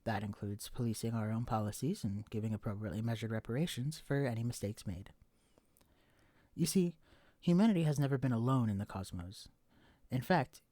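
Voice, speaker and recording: A man acts out an alien impostor passing as someone's husband in an audio roleplay. The voice sits at a middle pitch, and every phrase is stiffly proper and stilted, with a pompous, formal air. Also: mellow, dry recording, natural voice.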